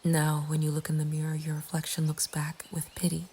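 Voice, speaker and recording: A woman narrating a scripted horror story in a breathy ASMR whisper, with a hushed, deliberate delivery. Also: deep voice, monotone. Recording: faint ambient background noise. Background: soft nature ambience.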